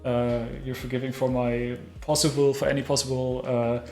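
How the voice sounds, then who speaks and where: A man's voice: medium pitch, flat delivery, moderate pace, warm tone, reverberant. A man with a German accent speaking English as a second language, friendly and a little hesitant, repeating words as he talks. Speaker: co-player, content creator.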